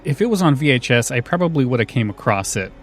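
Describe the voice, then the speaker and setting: A man's voice: medium pitch, sing-song, fast, soft background music. A man hosting a podcast in a casual, chatty style. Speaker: podcast host.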